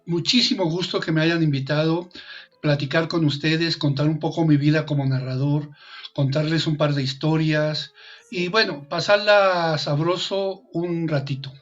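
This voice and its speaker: A man's voice: on the high side, steady tone, normal speed, a bit bright. Jovial Colombian man, dull call audio. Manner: jovial, joking, laughs easily. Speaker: guest storyteller. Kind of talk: online interview. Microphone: video call.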